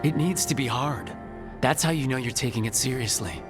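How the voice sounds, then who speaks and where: A man's voice: mid-range voice, lively intonation, a little fast, music in the background, natural voice. An actor playing an earnest, composed male team leader in a video game, speaking directly. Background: game score.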